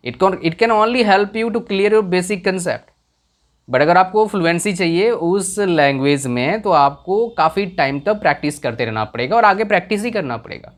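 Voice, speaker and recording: A young man whose first language is a village tongue, giving earnest career advice in a casual talk, often checking if the listener follows. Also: high voice. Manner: earnest, repeats questions to the viewer.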